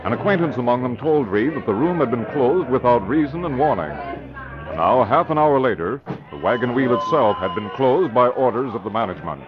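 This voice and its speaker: An actor serving as the formal storytelling narrator of an old radio thriller. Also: male voice, medium pitch, lively intonation, a little fast, crowd noise, lightly acted. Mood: measured, suspenseful. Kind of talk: 1940s radio scripted narration. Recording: muffled old radio recording, music behind.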